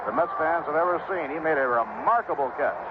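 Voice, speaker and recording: A man with an American accent and a fairly high voice calls a baseball game as a radio announcer. His commentary is classic 1960s play-by-play. The sound is narrow and tinny, buried in heavy noise from an old ballpark broadcast. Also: expressive, brisk. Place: outdoor ballpark broadcast position.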